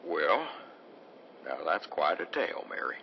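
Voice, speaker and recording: Small-town sheriff: low, lively intonation, uneasy and folksy, noisy vintage radio play. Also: male voice, measured pace, gravelly, muffled recording, full character voice. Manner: hesitant, folksy. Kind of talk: old-time radio drama acting.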